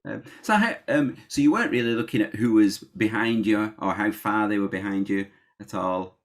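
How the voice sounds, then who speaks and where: A woman interviewing a runner, asking halting questions with many restarts and encouraging murmurs. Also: rumbling low. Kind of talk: casual interview.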